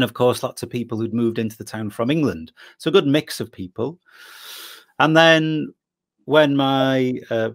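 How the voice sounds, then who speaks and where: Measured Welsh man, dry sound. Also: medium pitch, no room sound. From Wales. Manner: measured, thoughtful, with frequent pauses and fillers. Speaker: guest. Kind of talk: interview, recounting his upbringing.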